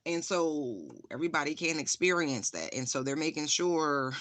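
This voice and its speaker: Chatty tarot reader, bright voice, dry close sound, swears a lot and laughs. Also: medium pitch, expressive, moderate pace, no room sound. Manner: frank, profane, laughs often; repeats phrases for emphasis. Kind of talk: casual spiritual reading, chatty asides.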